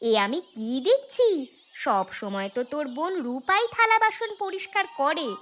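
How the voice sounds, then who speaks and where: A woman's voice: high-pitched, big pitch swings, moderate pace. A woman voicing a nosy village neighbour in a cartoon fairy tale, with a sarcastic, scolding way of talking. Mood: nosy surprise.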